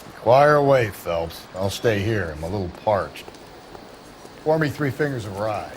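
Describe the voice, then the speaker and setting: An actor playing a jaded, hard-drinking older police detective in a video game, wry and sardonic. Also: male voice, fairly low pitch, big pitch swings, unhurried, slight background noise. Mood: wry, lazy.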